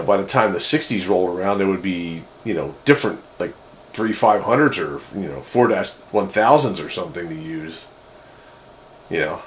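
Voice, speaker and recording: A man's voice: fairly low pitch, a little hiss or hum, low-quality recording. A man in casual technical chat, musing aloud in a hesitant way and often rephrasing himself. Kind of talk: casual technical chat about vintage radio gear.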